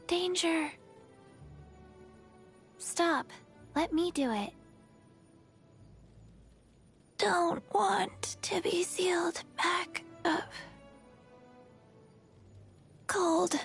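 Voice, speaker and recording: A woman voicing an undead, forgetful little girl in a video game, in slow, halting, flatly childlike short phrases. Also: very high-pitched, exaggerated voice. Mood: weak, distressed.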